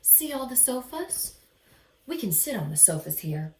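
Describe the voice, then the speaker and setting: A woman voicing a suave, calm male dog who runs a club, speaking slowly and with emphasis in a storybook reading. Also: a little high, echoey room, heavy background noise. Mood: proud, expansive.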